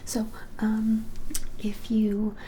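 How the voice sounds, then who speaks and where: A woman in her twenties whispering an ASMR roleplay as a shop clerk, gently and hesitantly, with many pauses. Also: on the high side, monotone, low-quality recording. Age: 20s. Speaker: ASMR creator playing a witch shop clerk. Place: plain small indoor room. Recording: clearly hissy background noise.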